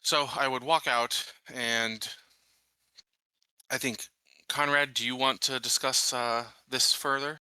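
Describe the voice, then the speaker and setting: A man's voice: mid-range voice, fairly even intonation, slow pace, bright, forceful tone, smooth, no room sound, rough recording. A man playing a tabletop roleplaying game, improvising his lines casually.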